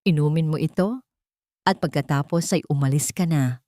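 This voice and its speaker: A woman voicing a calm, authoritative old village woman who speaks gently but firmly. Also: low-pitched, moderate pace, dry recording, studio quality, lightly acted.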